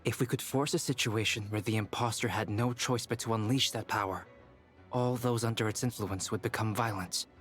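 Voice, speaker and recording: A man voicing a composed, clinical young alchemist in a video game, measured and formal. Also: lowish voice, fairly even intonation, fairly bright tone, close to an everyday voice. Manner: measured, matter-of-fact, formal. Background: game music.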